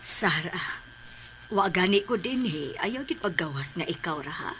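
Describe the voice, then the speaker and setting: A woman playing an earnest middle-aged mother in a radio drama, speaking with breathy emotional emphasis. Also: fairly low pitch, animated, measured pace, fairly bright tone, a little hiss or hum. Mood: grave, confiding. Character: earnest, pleading middle-aged mother, protective of her sons.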